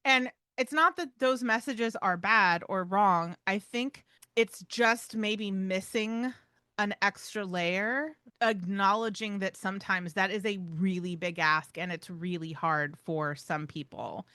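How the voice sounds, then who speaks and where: A woman's voice: medium pitch, slow, bright, forceful tone, clean tone, no room sound, clean studio sound. A woman co-hosting a podcast in a conversational style, with emphatic delivery and casual asides. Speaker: podcast co-host. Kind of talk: conversational pet-behaviour podcast talk.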